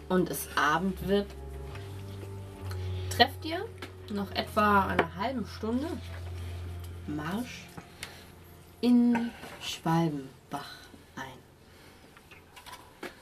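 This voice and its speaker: A woman runs a tabletop fantasy game as its game master, in a mid-pitched voice. She improvises her descriptions, feeling for words, while music plays clearly behind her. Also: animated, very slow, pauses often.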